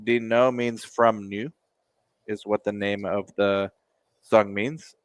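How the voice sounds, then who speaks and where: A man co-hosting a relaxed reaction chat, rambling along with sarcastic asides. His voice sits on the low side. The sound is dry and close. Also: takes it slowly, no echo. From United States. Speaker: co-host reacting to music.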